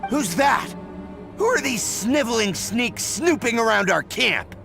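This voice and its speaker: A menacing bandit from a video game. The voice is mid-pitched and full of gravelly creak, sneering with theatrical suspicion at intruders. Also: expressive, measured pace. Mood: hostile. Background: music.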